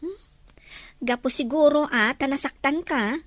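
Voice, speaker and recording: A woman voicing inquisitive young student friend, high pitch, dry close sound, thoughtful, audio drama. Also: measured pace, a bit bright, dry recording. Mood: pondering, gentle probing. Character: young female student, thoughtful, questioning confidante. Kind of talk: Filipino audio drama dialogue in Ilocano.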